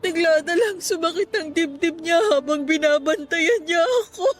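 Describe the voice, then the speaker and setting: A grieving young woman in a radio melodrama, crying as she speaks. The voice is extremely high, sobbing and guilt-ridden over faint music. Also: moderate pace. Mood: guilty, grieving. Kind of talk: radio drama acting.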